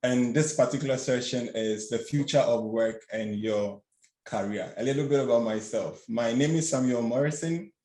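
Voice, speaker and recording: A young man from Ghana presenting a student finance webinar on a video call, nervous and halting, stammering and restarting his sentences. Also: medium pitch, mellow. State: a bit nervous. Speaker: student workshop facilitator. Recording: roomy echo.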